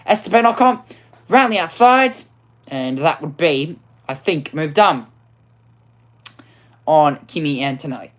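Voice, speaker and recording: A woman commentating a racing game live, excitable, often repeating and restarting phrases, her voice worn out. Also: low voice, unhurried, pauses often.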